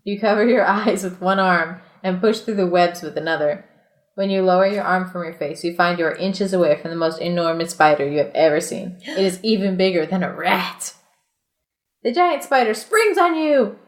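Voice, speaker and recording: A woman podcast host reading a storybook aloud in an expressive way, with casual, playful asides. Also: lowish voice, moderate pace, reverberant. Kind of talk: storybook read-aloud mixed with casual chat.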